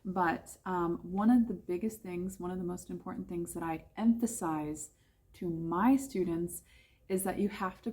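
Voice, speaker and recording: A woman's voice: fairly low pitch, dark tone, slightly rough. An American woman who teaches English, chatting casually about her life abroad and often correcting herself with fillers. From United States. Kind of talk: casual talking-head vlog.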